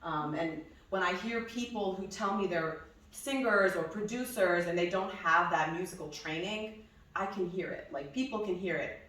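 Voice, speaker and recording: A young woman from New Jersey whose first language is Hebrew, chatting casually in an interview about her music, laughing often and filling pauses with ums. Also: lowish voice, fairly bright tone. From New Jersey, USA. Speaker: singer being interviewed. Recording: echoey room, voice from a distance.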